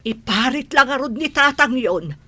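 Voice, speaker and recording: A woman's voice: sits high, lively intonation, moderate pace, bright, forceful tone, no echo, heavily acted. A woman playing a middle-aged village mother in an Ilocano radio drama, firm, long-suffering and plaintive. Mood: reproving, earnest.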